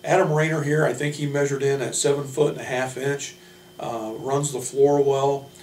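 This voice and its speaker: A man speaking as a basketball coach, giving informal player introductions in a measured, hesitant way with frequent pauses. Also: medium pitch, flat delivery, darkish tone. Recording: roomy, distant mic, faint hum.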